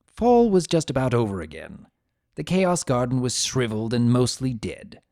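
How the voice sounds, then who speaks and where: A man voicing a grumpy, possessive, secretly tender chaos creature who narrates his own story in a sardonic, expressive dramatic reading. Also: mid-range voice, moderate pace, gravelly, dry recording, clean studio sound. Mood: wry, reflective. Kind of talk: first-person dramatic reading of fan fiction.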